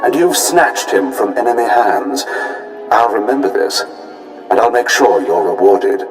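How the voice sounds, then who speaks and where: A man's voice: medium pitch, animated, unhurried, lightly acted. A man acting a smug, genteel imperial commander in a video game, speaking with formal, suave assurance. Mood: smug satisfaction. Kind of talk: scripted video game dialogue. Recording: echoey transmission with music underneath. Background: game music.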